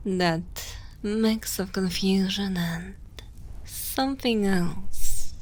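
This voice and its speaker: A woman playing a dominant, motherly evil queen in a soft ASMR roleplay, speaking formally and intimately, often in a breathy whisper. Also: medium pitch, a little hiss or hum. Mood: teasing. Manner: slow, deliberate, formal phrasing, breathy whispering.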